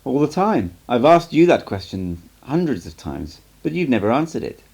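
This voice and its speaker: A man's voice: mid-range voice, very animated, normal speed, vocal fry, darkish tone, voice pushed up. A man voicing an anxious, earnest apprentice who asks probing questions in an audiobook. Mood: uneasy, protesting. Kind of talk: amateur audiobook character reading.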